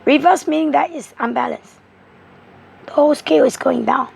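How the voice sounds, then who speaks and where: A woman with a hoarse, strained voice slowly explaining tarot cards. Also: high-pitched, darkish tone. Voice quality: rough, strained voice. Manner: slow, halting, repetitive explaining. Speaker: tarot card reader.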